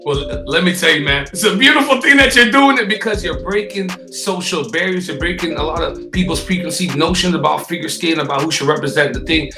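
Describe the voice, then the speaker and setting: Male talk show interviewer, high-pitched hype-man energy, effusive, echoey sound over a music bed. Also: fairly quick, hardly any pauses. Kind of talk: animated celebrity interview.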